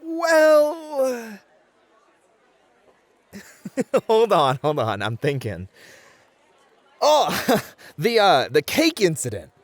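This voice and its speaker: A man acting as a big, suave club host in an ASMR roleplay, speaking at a leisurely pace with swooping, lively pitch. He is a teasing, courteous charmer, recorded very dry and close. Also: extremely slow, pauses often, no room sound, some chatter behind, full character voice. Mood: flustered, hesitant. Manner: flirty, theatrically polite, teasing. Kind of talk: scripted ASMR roleplay audio.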